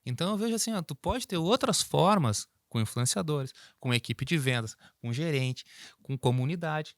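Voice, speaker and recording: Guy chatting business on a podcast, super animated, dry studio mic. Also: on the high side, moderate pace, no echo. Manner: animated, talks through hypothetical examples. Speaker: podcast co-host. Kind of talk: casual business chat.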